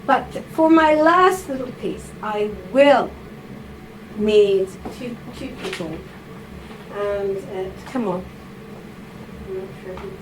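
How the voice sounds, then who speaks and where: A woman's voice: medium pitch, slow pace, pauses often. A woman reading a passage aloud at a live salon and playfully directing two volunteers, laughing and coaxing as she goes. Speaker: reader at a writers' salon. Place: live event room with audience. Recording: noisy live room recording.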